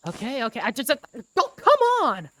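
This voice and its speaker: A man's voice: very high voice, huge pitch swings, brisk, pushed and bright, dry recording, studio quality, voice pushed up, full character voice. A man voicing a playful, easily flustered teenage schoolboy in a game fandub, exclaiming and bantering.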